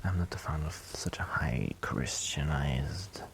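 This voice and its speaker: Deep, slow, soft-spoken man. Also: vocal fry. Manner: soft-spoken, hesitant, self-deprecating, often dropping near a whisper. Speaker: ASMR performer and amateur musician friend. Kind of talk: ASMR roleplay, casual intimate chat.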